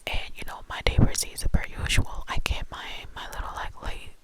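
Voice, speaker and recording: Whispered storytime, young woman, casual and rambling, breathy. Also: moderate pace.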